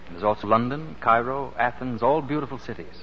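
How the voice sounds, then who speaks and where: A man's voice: medium pitch, fairly quick, lightly acted. A man acting a dashing, impulsive suitor in an old radio drama, with a suave manner. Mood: ardent. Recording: muffled, noisy 1940s radio recording.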